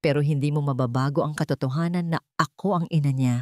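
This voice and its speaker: A woman voicing a defiant, tearful mother in a story, speaking firmly and quietly with a Filipino accent. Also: deep voice, steady tone, fast talker, no echo, clean studio sound, lightly acted. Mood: quiet defiance. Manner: firm, quiet, unwavering.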